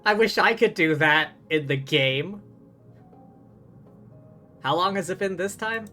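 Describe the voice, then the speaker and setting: A man's voice: sits high, lively intonation, slow pace, pushed and bright, soft background music. A man livestreaming a video game, reading dialogue aloud and adding wry, casual commentary. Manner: wry, sweary asides.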